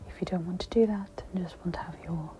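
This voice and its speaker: A woman speaks in a whispery, breathy voice, gently guiding a meditation in a soft-spoken ASMR style. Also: fairly low pitch, steady tone. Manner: slow, gentle, instructional. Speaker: host of guided meditation ASMR. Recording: dull lo-fi with audible background noise.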